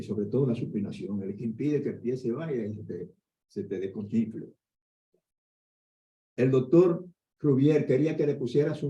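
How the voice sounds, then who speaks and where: An older man, an orthopedic doctor giving a conference lecture in a lively storytelling way. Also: medium pitch. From Dominican Republic. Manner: conversational, animated storytelling with asides. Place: conference hall. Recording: echoey hall, dull lo-fi sound.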